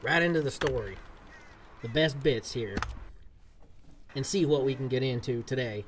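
A man's voice: medium pitch, normal speed, a little hiss or hum. A man, chatting casually and folksily with his chat while streaming a game. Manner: folksy cowboy-style talk. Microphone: desk mic, game audio from a speaker.

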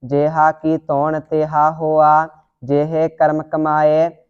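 A student reciting scripture line by line in a steady, deliberate devotional monotone. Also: mid-range voice, clean tone. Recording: dull lo-fi audio.

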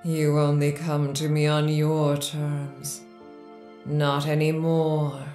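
Low, echoing, possessive enchantress. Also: female voice, completely flat delivery, slow, warm tone. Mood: demanding. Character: Lyra, possessive seductive enchanting otherworldly woman in a cabinet. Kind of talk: audio horror drama acting. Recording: echoey voice over faint music.

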